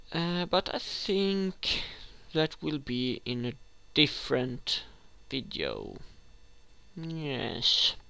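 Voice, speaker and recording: Slow-talking Swedish man, dry close sound. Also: fairly high pitch, vocal fry, sharp tone, clean tone, no echo. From Sweden. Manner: hesitant, many fillers and restarts. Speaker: gaming tutorial host. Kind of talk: rambling screen-recorded game tutorial.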